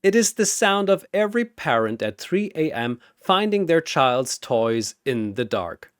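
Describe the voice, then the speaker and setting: Male narrator for fantasy battle story: theatrical, measured and slow, in a dry recording. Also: fairly high pitch, sing-song, clear, resonant tone, no room sound. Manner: theatrical, deliberate. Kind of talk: dramatic scripted battle narration.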